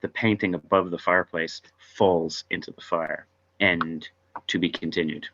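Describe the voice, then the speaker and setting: A man's voice: low-pitched, steady tone, moderate pace, noisy background. A man reading stage directions as narrator at a table read of a script, on a dull microphone.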